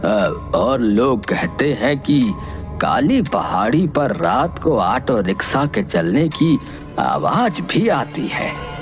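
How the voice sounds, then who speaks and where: A man voicing a sick, kindly old grandfather in a cartoon, with a weak, coughing voice and slow, affectionate, advising delivery. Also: mid-range voice, very animated, over music. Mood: hushed, storytelling. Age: older. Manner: affectionate, unhurried, gently advising.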